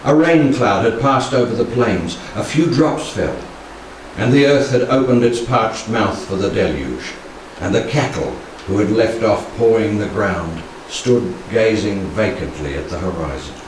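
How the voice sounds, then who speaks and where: A man's voice: on the low side, lively intonation. Echoey older man solemnly reciting poetry. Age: 60s. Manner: measured, dramatic phrasing with pauses. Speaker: poetry reader. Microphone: handheld stage microphone. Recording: very echoey room, distant, faint noise.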